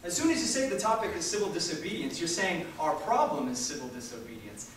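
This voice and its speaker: Male orator, higher-pitched and emphatic, delivering an impassioned political speech, heard from afar. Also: very animated, quick pace, a bit bright. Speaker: speaker reading a historical speech aloud. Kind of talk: impassioned political speech reading at a public event. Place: large echoey room at a live university event. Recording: echoey hall from far off, faint noise.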